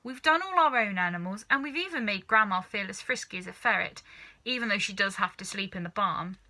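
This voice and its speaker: A woman's voice: mid-range voice, expressive, fairly quick, pushed and bright. A woman voicing a young boy in a children's storybook, earnest and a little hesitant, as if pleading to be heard. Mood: puzzled. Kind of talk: children's storybook character reading.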